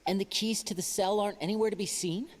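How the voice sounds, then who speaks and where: A woman's voice: mid-range voice, fairly even intonation, fast, darkish tone, natural voice. A woman voicing a curious young traveler character in a game, inquisitive. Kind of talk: game voice acting.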